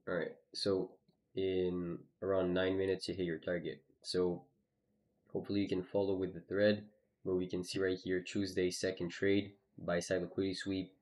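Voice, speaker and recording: A man's voice: low voice, darkish tone. A man streaming live, casually walking through his trades on a chart, with lots of "you know" and "okay". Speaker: trading streamer.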